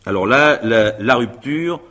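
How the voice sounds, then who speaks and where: A man's voice: medium pitch, expressive, unhurried, pushed and bright. An actor playing an impatient, patronising, stubborn rationalist man, insistent and condescending, in 1950s French radio drama style. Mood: dismissive.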